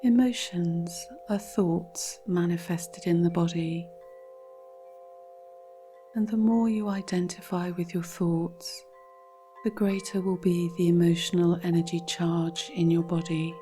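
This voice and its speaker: A woman narrates a guided relaxation in a slightly low, mellow voice. Her delivery is very slow, calm and measured, with a soft breathiness as she gives instruction. There is faint noise behind her. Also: soft background music.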